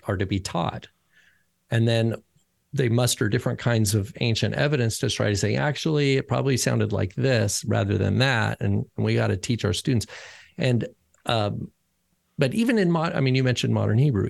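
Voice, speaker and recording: A man's voice: mid-range voice, creaky, darkish tone, dry recording. A man co-hosting a podcast who explains letters and sounds in a casual, lecturing way. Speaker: podcast co-host and teacher.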